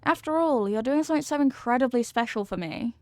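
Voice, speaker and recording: A sweet headless horsewoman played by a woman speaks in a fairly high voice, close and dry. She is a devoted, giddy girlfriend in an intimate ASMR audio roleplay. Her talk is playful and full of affection. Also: animated, fast, no echo. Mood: playful, affectionate.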